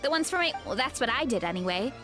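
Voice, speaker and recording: An earnest, gentle young tribeswoman in a game. The voice is high and girlish with lively swings in pitch, over faint music. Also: fast talker. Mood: cheerful, explaining. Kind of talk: video game character acting.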